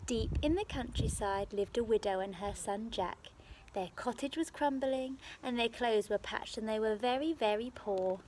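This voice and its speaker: A woman telling a story to young children as a teacher would, with expressive, dramatic and careful phrasing, recorded outdoors. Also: fairly high pitch, moderate pace, low-quality recording. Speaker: primary school teacher. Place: outdoors in a garden. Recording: faint outdoor background noise.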